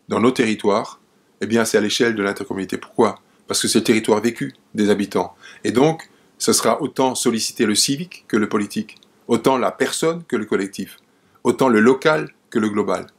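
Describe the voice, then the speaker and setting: A man's voice: lowish voice, fairly quick, husky. A man in his sixties, a mayor giving interview testimony indoors. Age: 60s.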